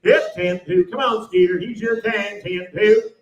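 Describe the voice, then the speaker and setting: A man's voice: sits high, big pitch swings, a little slow, highs cut off. An American man working as a livestock auctioneer, calling bids in a repetitive, rolling rhythmic chant. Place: large indoor livestock sale barn. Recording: echoey sale barn PA, distant.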